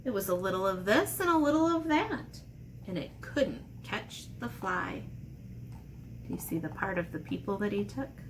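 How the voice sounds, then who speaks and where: A woman's voice: mid-range voice, lively intonation, moderate pace, slight background noise, low-quality recording. A woman teacher talking to children in simple, playful, step-by-step language, as in a read-aloud and drawing lesson. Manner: simple, child-directed explanations with playful asides. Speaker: elementary art teacher.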